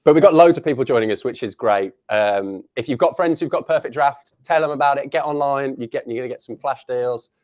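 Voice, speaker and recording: Jokey, enthusiastic male co-host, mid pitch, dry close sound. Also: a little fast, few pauses, a little mellow, dry recording. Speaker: livestream co-host. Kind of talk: casual live stream chat.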